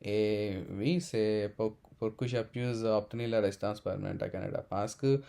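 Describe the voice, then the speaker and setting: A man in his twenties from India, a Telugu speaker, talking in halting learner's French with many pauses and self-corrections. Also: lowish voice, fairly even intonation, vocal fry, warm tone. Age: 20s. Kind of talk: casual unscripted monologue.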